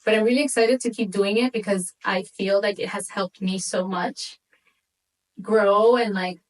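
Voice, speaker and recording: A young woman from Venezuela who moved to the United States as a child, telling stories casually as an interview guest, with animated informal talk and Spanish words dropped in. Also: medium pitch, normal speed, recorded from a distance, poor audio. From Venezuela, moved to the United States at eight. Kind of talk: casual conversational storytelling.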